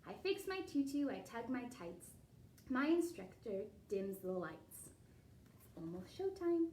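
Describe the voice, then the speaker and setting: A woman's voice: fairly high pitch, sing-song, a little slow, a little hiss or hum. A woman leading a children's storytime and ballet class at home, speaking in an encouraging, instructive way straight to the children. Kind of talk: storybook reading and guided stretch class for young children. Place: living room at home. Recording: roomy, distant home recording with loud music.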